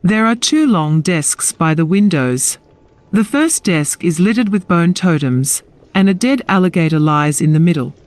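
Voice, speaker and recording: A woman's voice: fairly low pitch, a little mellow. A woman narrating a scripted fantasy audio drama in a descriptive storytelling style. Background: music.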